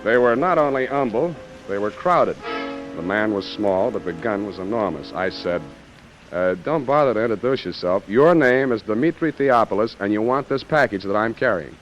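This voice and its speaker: An actor playing a wry, unflappable American private detective in 1940s radio noir, deadpan and sardonic. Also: male voice, on the low side, moderate pace, dull audio, natural voice. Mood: wry narrating. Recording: vintage radio recording, music and rain.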